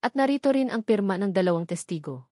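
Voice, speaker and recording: Woman voicing a calm, firm male lawyer. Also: mid-range voice, no room sound, clean studio sound, natural voice. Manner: measured, weighty on each word.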